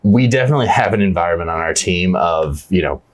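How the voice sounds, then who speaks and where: A young man with a low voice speaks as a sales team leader in a relaxed interview. He answers with eager, earnest energy and leans on casual fillers. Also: fast, slight background noise. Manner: earnest and enthusiastic, repeats "yeah, absolutely". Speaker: team leader in agent outreach.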